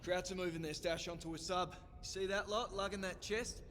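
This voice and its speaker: An actor playing a brash, irreverent Australian commando in a war game, blunt and sardonic, with an Australian accent. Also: male voice, sits high, fairly even intonation, normal speed, background noise, close to an everyday voice. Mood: impatient. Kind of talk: cinematic war game acting.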